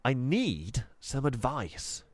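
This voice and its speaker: A man's voice: mid-range voice, sing-song, takes it slowly, dry recording, voice pushed up. A man voicing a nervous young schoolgirl who stammers and hesitates, in a dry recording. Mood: nervous girlish.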